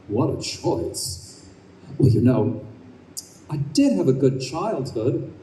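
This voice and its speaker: A man's voice: mid-range voice, big pitch swings, slow, dark tone. An actor playing an anxious, indignant father awaiting rebirth, in an earnest, exclaiming old-time radio style. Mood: wistful musing. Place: live performance in a convention room. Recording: roomy, distant live stage recording, faint noise.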